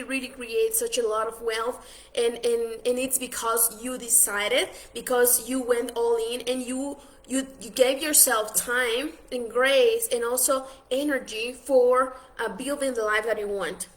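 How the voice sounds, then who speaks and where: Earnest Ecuadorian woman coaching, high voice. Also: a bit bright, poor audio. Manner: earnest, many restarts and fillers. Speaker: business coach. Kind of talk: online masterclass talk.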